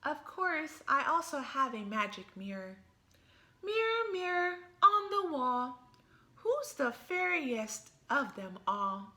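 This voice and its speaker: A woman reading a picture book aloud as an imaginative little girl who thinks she's a fairy, with lively, playful emphasis. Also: sits high, slow pace, exaggerated voice.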